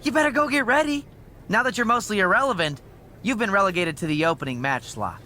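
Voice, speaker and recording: Bright, chipper backstage assistant. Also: female voice, mid-range voice, lively intonation, quick pace, a little hiss or hum. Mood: breezy, needling. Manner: chipper, dismissive, needling. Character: breezy, smug backstage assistant to a wrestling boss. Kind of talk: acted video game cutscene dialogue.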